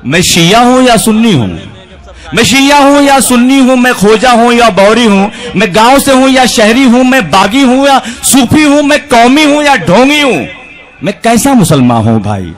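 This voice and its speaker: A man's voice: very high-pitched, animated, normal speed. A man reciting poems in rhythmic verse at a poetry evening, speaking into a stage microphone. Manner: rhythmic declamation with refrains. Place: large outdoor stage with a crowd.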